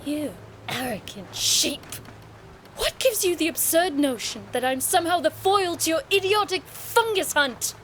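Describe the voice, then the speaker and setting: High-voiced, sharp-tongued noblewoman. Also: expressive, measured pace, slight background noise. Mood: indignant. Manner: haughty, sardonic, theatrical. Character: sharp-tongued, defiant, sarcastic young noblewoman explorer. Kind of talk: audio drama acting.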